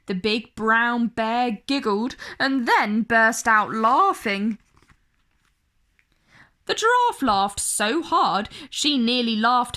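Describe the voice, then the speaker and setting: A woman tells a children's story in a fairly high voice. Her pitch rises and falls a great deal. She takes it slowly, stressing words dramatically for young listeners. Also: a bit bright. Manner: addresses young listeners directly, dramatic emphasis and drawn-out words. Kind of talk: expressive picture-book read-aloud for kids.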